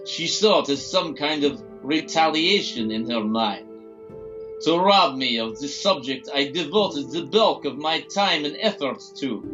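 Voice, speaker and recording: A man acts out a coolly taunting villainous doctor for an audio drama. His voice sits a little high and his delivery is formal, deliberate and condescending. The sound is slightly dull, with faint music underneath. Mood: condescending, cold. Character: smug, scheming male doctor and captor, coolly taunting.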